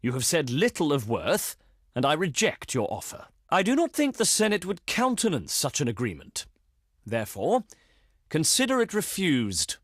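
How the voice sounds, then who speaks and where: A man voicing a pompous, self-important Roman senate envoy in a video game, with oratorical, deliberate delivery. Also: fairly high pitch, wildly swooping pitch, a bit bright, no echo, clean studio sound, exaggerated voice. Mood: scornful refusal. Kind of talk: scripted video game diplomacy voice acting.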